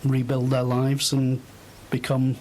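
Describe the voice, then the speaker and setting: Middle-aged male interview guest, measured and unhurried, pausing to think, faint rumbly noise behind. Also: monotone, a little mellow. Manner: hesitant, thoughtful, halting phrases. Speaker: interview guest, volunteer host. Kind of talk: unscripted radio interview conversation.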